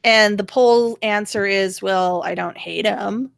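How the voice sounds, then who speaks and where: Casting: woman co-host, gravelly fry in the voice, wry and explanatory, recorded close and dry. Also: medium pitch, slow, dry recording. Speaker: co-host of political rant show. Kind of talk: casual political commentary.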